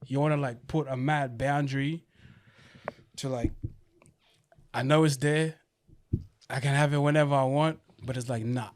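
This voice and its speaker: A young man who grew up between Uganda and Australia, talking in a loose, streetwise way. He keeps dropping "you know what I mean" between his thoughts, and his voice sits at an ordinary middle pitch. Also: steady tone, moderate pace, pauses often. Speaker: guest, event promoter. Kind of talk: casual podcast chat. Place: podcast studio.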